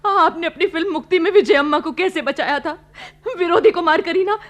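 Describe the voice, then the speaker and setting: A woman's voice: very high voice, a little fast, fairly bright tone. An actress playing an anguished widowed housewife and mother in a radio play, with a pleading, melodramatic delivery. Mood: desperate pleading. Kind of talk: Hindi radio play acting.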